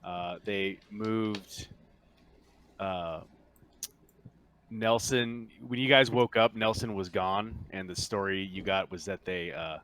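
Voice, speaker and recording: A man acting as game master, explaining the game casually and haltingly in an informal voice chat. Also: low voice, fairly bright tone, clear, resonant tone. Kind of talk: casual tabletop roleplaying narration and chat.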